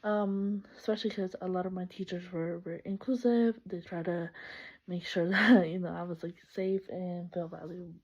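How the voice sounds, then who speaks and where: A woman's voice: lowish voice, fairly even intonation, normal speed, darkish tone. A young woman from El Salvador introducing herself for a class, chatty and upbeat, laughing often and correcting herself. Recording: slightly muffled lo-fi recording.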